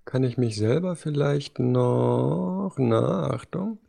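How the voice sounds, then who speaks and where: A man co-hosting a podcast, chatting casually and teasing his partner with quick jokes. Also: medium pitch, vocal fry, dark tone. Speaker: podcast co-host. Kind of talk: casual chat.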